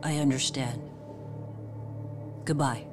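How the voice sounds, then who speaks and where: A woman's voice: rumbling low, steady tone, slow pace, music in the background. An actress playing a composed, wary woman in a dubbed video game, cool, clipped and formal. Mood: resigned. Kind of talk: English dub video game cutscene acting.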